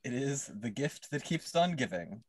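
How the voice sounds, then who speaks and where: A man's voice: mid-range voice, sing-song, normal speed, darkish tone, no echo. A young man co-hosting a podcast, dropping in casual, dry remarks. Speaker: podcast co-host. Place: home room with bookshelves, video call.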